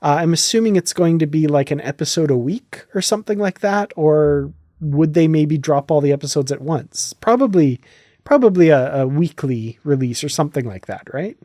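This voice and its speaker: A man's voice: fairly high pitch, normal speed, mellow, no echo, clean studio sound. A man hosting a podcast in a casual, chatty, enthusiastic way. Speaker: podcast host.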